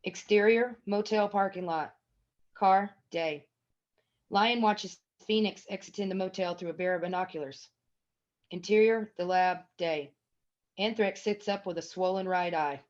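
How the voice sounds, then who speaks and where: A woman's voice: mid-range voice, unhurried. A woman narrating a screenplay at a table read, reading scene headings and stage action as scripted narration. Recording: dull, lo-fi sound.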